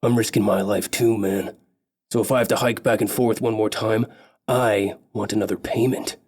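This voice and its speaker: A man's voice: on the low side, fairly even intonation, moderate pace, a little mellow, breathy edge. A man voicing a blunt, wary male mountain guide who haggles over pay. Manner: blunt, bargaining.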